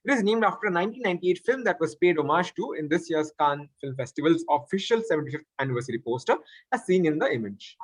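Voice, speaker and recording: A male quiz master reads questions aloud in a somewhat high voice. It has a husky edge. The sound is dry, with little room around it. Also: animated, fast, no echo, rough recording. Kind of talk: reading quiz questions aloud, with spoken asides.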